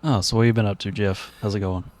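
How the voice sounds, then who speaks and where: Low-voiced man hosting a joking podcast. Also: fairly even intonation, fast talker, a little mellow, no echo. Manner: jokey, profane banter. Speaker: podcast host. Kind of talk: casual chat.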